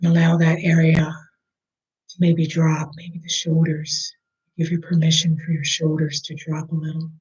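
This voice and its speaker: A meditation guide leading a slow, soothing breathing meditation, speaking softly and at times almost in a whisper. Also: medium pitch, completely flat delivery, lo-fi sound.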